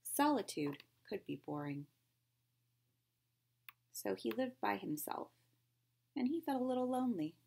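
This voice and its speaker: A woman's voice: fairly high pitch, very animated, a little slow, vocal fry, long pauses, a little mellow, a little hiss or hum. A woman reading a picture book aloud to young children like a teacher, expressively, with simple explanations and questions along the way.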